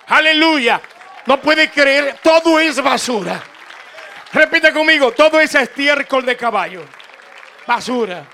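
A man's voice: extremely high pitch, animated, slow pace, pushed and bright, a faint crowd, a little hiss or hum. A man with a Latin American Spanish accent preaching an impassioned, informal sermon, emphatic and repetitive, prodding his listeners to respond. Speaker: preacher taking questions from congregation.

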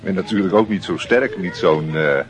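An actor playing a chatty, gossiping teenage schoolboy with a casual, slangy manner in a Dutch radio play. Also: male voice, sits low, normal speed, natural voice. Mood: placating. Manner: casual, swearing, teasing slang.

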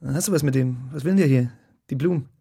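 A jealous, insecure young lover in an intimate radio play. His voice is heavily creaky and very breathy, and he presses on in short, insistent phrases. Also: mid-range voice, fast talker, dark tone. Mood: jealous, indignant. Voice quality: husky, gravelly, breathy. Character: insecure, jealous young man desperately in love. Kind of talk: intimate radio drama acting.

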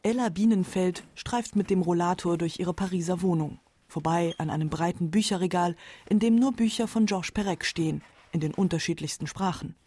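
A woman's voice: on the low side, fairly quick, dark tone, breathy edge. A woman narrating a radio feature from a script. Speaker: documentary narrator.

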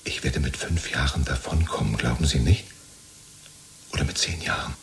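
Servile party loyalist, deep voice, rambling, chummy, anxious self-justification, radio play. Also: male voice, moderate pace, vocal fry, slight background noise. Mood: anxious, hopeful. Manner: rambling, ingratiating, chummy, seeking reassurance. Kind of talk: 1970s German radio play acting.

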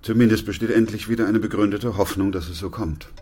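An actor playing a composed, middle-aged doctor who narrates a plague chronicle in a radio drama, calm and sober. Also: male voice, on the low side, fast, breathy edge. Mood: measured.

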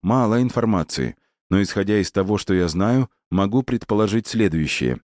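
Man doing a smart cheeky boy in an audiobook, low voice, explaining stuff step by step. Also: lively intonation, moderate pace, darkish tone, no echo, clean studio sound, natural voice. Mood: reasoning, confident. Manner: reasoning step by step. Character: bold, analytical boy, eager to justify himself.

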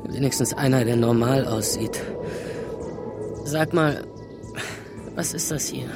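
A man's voice: medium pitch, slow pace, loud music behind the voice. A young man playing a confused, sarcastic schoolboy who has woken in a virtual world, in a radio drama.

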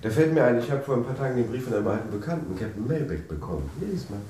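An actor playing an evasive, sweet-talking young husband in a radio drama, with a smooth, cajoling manner and speech. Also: male voice, medium pitch, sing-song, rapid-fire, far from the mic, lightly acted. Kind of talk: German radio drama acting. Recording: echoey room, off mic, faint noise.

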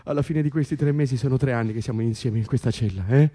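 An actor playing an excitable, wry political prisoner in an Italian radio drama, stammering, repeating himself and swearing. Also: male voice, mid-range voice, fast, close to an everyday voice. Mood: overwhelmed, reflective. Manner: breathless repetitions, stammering, swearing. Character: excitable male political prisoner, agitated, wry, foul-mouthed. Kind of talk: Italian radio drama acting, conversational and intense.

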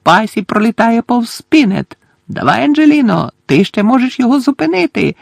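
A man voicing an excited, openly partisan teenage boy commentating on a match in an audiobook, with urgent, shouted delivery. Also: very high-pitched, moderate pace, creaky, dry recording, studio quality, pitched above the performer's own voice, heavily acted. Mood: urgent, pleading. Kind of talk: audiobook character voice.

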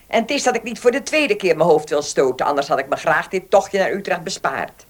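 An actress in a Dutch radio play playing a haughty, sharp-tongued older lady of the manor. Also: fairly low pitch, animated, brisk. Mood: brisk, grumbling. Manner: bossy, snappish.

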